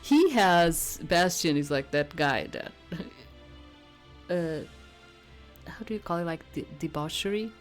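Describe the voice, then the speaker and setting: A woman's voice: fairly low pitch, lively intonation, takes it slowly, pauses often, faint music behind. A woman giving casual, rambling commentary as she plays a game, hesitant and often trailing off. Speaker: let's play host. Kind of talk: casual gameplay commentary with read-aloud dialogue.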